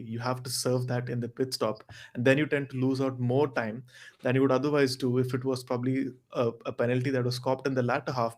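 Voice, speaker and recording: A man speaking as a podcast panelist, explaining motorsport points in a measured, analytical way with careful qualifications. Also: mid-range voice.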